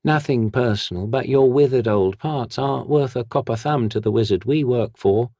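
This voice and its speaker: A man's voice: mid-range voice, moderate pace, dark tone, dry recording, clean studio sound, voice pushed up, natural voice. A man voicing a menacing female body snatcher who speaks in a rattling, hissing whisper.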